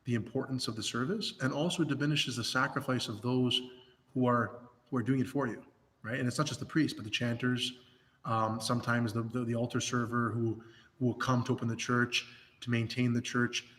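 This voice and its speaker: A hoarse man speaking as a priest in a livestreamed pastoral talk, earnest, with many restarts and fillers. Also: medium pitch, fairly even intonation, fairly quick, a bit bright, long reverb tail. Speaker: Orthodox priest.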